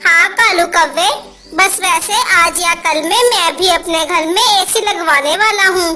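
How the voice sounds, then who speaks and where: A woman's voice: very high voice, a little slow, bright, forceful tone, exaggerated voice. A woman voicing a small sparrow neighbour in a children's cartoon story, with a childlike, simple delivery. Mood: friendly. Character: friendly sparrow neighbour who grows suspicious, small bird.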